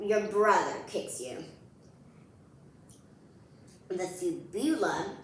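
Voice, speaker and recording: A woman reading a picture book aloud to children in an expressive, playful way, speaking directly to her young listeners. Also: on the low side, slight background noise. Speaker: children's read-aloud host. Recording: very echoey room.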